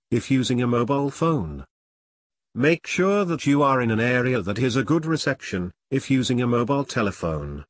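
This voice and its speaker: A man delivers scripted tutorial narration at an average male pitch. His delivery is measured and formal, with deliberate phrasing as he gives advice. The voice is close on the mic, with a very dry sound free of room reflections. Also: no room sound. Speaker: presenter of career advice tutorial.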